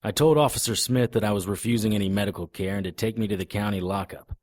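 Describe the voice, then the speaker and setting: Man acting tough wry detective guy, low dry-recorded voice, noir radio drama, defiant. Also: fast, a little mellow, dry recording, lightly acted. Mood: grim narration. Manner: hard-boiled, sardonic. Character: tough, defiant, wry male private investigator. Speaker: lead actor and narrator.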